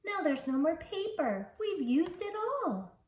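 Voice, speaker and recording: Lady doing tiny worried scribble guys from a picture book, high n whiny, echoey. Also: big pitch swings, takes it slowly, a little mellow, distant mic, full character voice. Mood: upset. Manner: plaintive, crying out. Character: crew of little paint scribbles, anxious and dramatic. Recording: roomy, noisy home recording.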